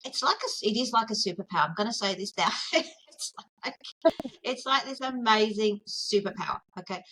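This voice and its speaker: Australian lady on a video call, bright clear voice, earnest and kinda searching for words. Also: medium pitch, animated, a little fast, a little hiss or hum, low-quality recording. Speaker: guest, mindful arts therapist. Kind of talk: casual interview chat.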